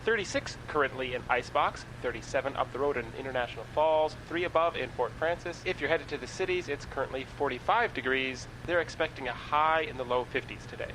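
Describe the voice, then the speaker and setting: An actor playing a dry small-town radio host reading weather and news in a comedy radio play. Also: male voice, medium pitch, quick pace, hardly any pauses, background noise, lightly acted. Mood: deadpan. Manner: deadpan, dry.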